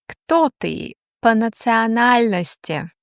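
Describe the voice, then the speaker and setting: A woman teaching a language, reading slow, deliberate question-and-answer drills with long pauses. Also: a little high, animated, vocal fry, no room sound, clean studio sound.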